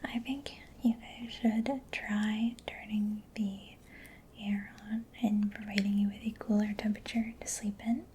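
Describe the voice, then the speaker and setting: Whispering woman in soft ASMR roleplay. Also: mid-range voice, flat delivery, a little hiss or hum. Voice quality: breathy whispering, mostly unvoiced. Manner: gentle, reassuring, unhurried close-up delivery. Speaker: ASMR roleplay performer playing a mechanic. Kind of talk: soft-spoken ASMR personal attention roleplay.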